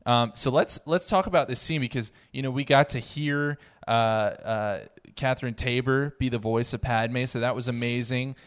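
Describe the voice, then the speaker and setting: A man's voice: mid-range voice, normal speed, hardly any pauses, smooth. A man hosting a casual roundtable discussion, conversational and steering the talk with questions. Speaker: podcast host moderating a roundtable.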